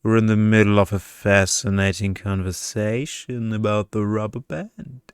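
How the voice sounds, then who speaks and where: A man's voice: lowish voice, fairly even intonation, normal speed, clear, resonant tone, dry recording, studio quality, natural voice. A man voicing a pedantic, seductive energy vampire in an ASMR story, lecturing in a pompous drone. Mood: smugly chiding. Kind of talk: ASMR storybook character reading.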